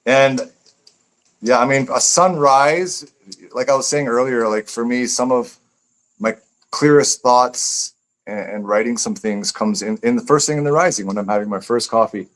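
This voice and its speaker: A man's voice: mid-range voice, moderate pace, poor audio. A man speaking as a guest author in a casual live interview, reflective and rambling, repeating phrases as he thinks aloud.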